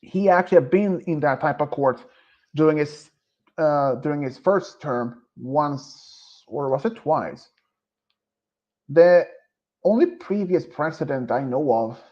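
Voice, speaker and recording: Male streamer, Swedish accent, English not his first language; unhurried, rambling, full of fillers; muffled low-fi mic. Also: animated, a little mellow. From Sweden. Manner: hesitant and rambling, with many pauses and fillers. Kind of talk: casual live-stream chat.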